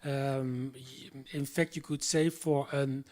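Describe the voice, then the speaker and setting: A man's voice: medium pitch, steady tone, slow pace, a little mellow. A man with an ordinary mid-range voice speaks as an in a panel talk. He hesitates, fills gaps with "uh," and restarts his sentences as he thinks aloud. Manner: hesitant, many fillers and restarts. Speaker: artist, panel guest. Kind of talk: public panel conversation.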